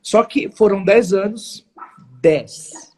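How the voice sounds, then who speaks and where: A man's voice: sits high, slow, soft background music. A man in his forties from São Paulo with a São Paulo accent, telling his story as an interview guest in an earnest, emphatic way with formal phrasing and frequent restarts. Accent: São Paulo Portuguese. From São Paulo, Brazil. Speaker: guest, network marketing entrepreneur.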